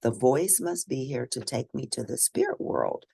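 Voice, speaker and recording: A woman's voice: a bass voice, expressive, moderate pace, creaky, warm tone, slightly rough, no room sound, clean studio sound, heavily acted. Woman voicing a timid, frightened little girl. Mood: wondering. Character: frightened, wary young Paiute girl.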